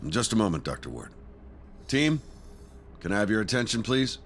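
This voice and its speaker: A man playing an earnest army-pilot commander of a space crew in a video game, speaking deliberately and with authority. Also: lowish voice, very animated, slight background noise. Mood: solemn, rousing. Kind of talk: cinematic video game acting.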